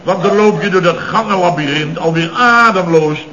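An actor in a radio comedy playing an indignant, blustering boss of fifty who grumbles and exclaims, repeating himself. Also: male voice, high voice, normal speed, sharp tone. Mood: aggrieved. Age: 50s. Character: blustering, indignant fifty-year-old male building firm boss. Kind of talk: 1970s radio comedy acting. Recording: muffled, noisy old radio recording.

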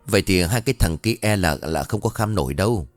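A man voicing an older, superstitious market woman who chides her friend in a measured way. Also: sits low, lively intonation, dry recording, soft background music. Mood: cautioning. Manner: warning, chiding, measured.

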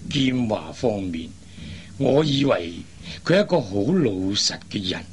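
An actor playing a worried middle-aged father in a Cantonese radio drama, sighing and speaking with drawn-out emotion. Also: on the low side, very animated, a little hiss or hum. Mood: exasperated. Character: worried, exasperated father of grown daughters.

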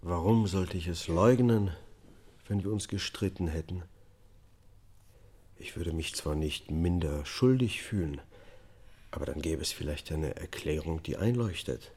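An actor playing a subdued, haunted man on trial for killing his family, testifying in a measured, matter-of-fact way in a radio drama. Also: low voice, darkish tone, breathy edge, exaggerated voice. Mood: defensive.

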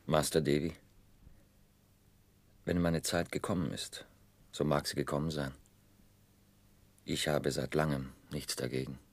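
A very deep, level voice of a brave young fisherman. He speaks with solemn, calm resignation in the manner of a 1960s radio play, recorded dry. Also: unhurried, long pauses, no room sound, heavily acted.

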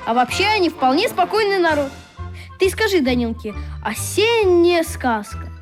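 A woman's voice: extremely high pitch, sing-song, normal speed, soft background music. A woman in a radio play voicing an eager, plain-spoken schoolboy. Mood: reassuring, eager.

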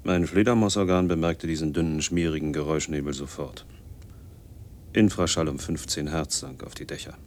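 A man's voice: rumbling low, fairly even intonation, moderate pace, darkish tone, a little hiss or hum. An actor playing a lonely, bitterly brooding man in his forties in a radio drama monologue, speaking in clipped, literary fragments. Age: 40s.

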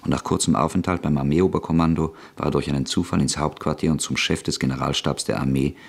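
A man reading scripted literary narration for a radio play in a measured, sober way. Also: rumbling low, steady tone, gravelly, husky.

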